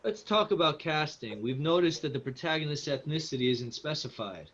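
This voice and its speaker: A man playing a smooth, agreeable film producer in a table read. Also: on the high side, expressive, brisk, fairly bright tone. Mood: earnest. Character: smooth, agreeable male producer pushing diversity casting. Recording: slightly dull, lo-fi audio.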